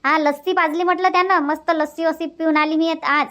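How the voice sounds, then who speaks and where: A woman's voice: very high voice, fairly even intonation, brisk, fairly bright tone. A woman voicing chatty, sociable village women in a cartoon comedy, speaking colloquial Vidarbha Marathi with repeated phrases. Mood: animated gossip. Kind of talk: cartoon comedy dubbing in rural dialect.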